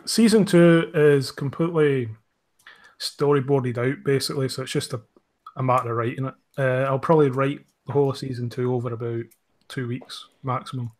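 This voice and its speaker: A man's voice: mid-range voice, steady tone, brisk, darkish tone. A man talking casually as a writer-producer guest in an interview, understated and a little hesitant.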